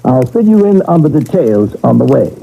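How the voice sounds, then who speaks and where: An actor playing a calm, earnest paranormal investigator in an old radio mystery, speaking in a measured, reassuring way. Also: male voice, medium pitch, vocal fry, muffled recording. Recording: noisy old radio broadcast recording.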